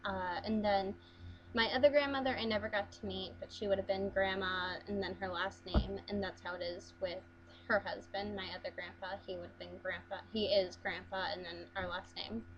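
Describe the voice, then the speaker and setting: A woman's voice: mid-range voice, steady tone, moderate pace, dull audio, crowd noise. A young woman from Las Vegas chatting casually through an accent challenge, hesitant with frequent ums and restarts. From Las Vegas, USA. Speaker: vlogger.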